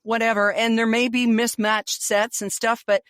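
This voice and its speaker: A woman's voice: fairly high pitch, flat delivery, moderate pace, a bit bright, dry recording, clean studio sound. A middle-aged woman with a Canadian accent co-hosting a podcast, chatting casually in a reflective, slightly wry, conversational way. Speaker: podcast co-host. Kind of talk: casual chat.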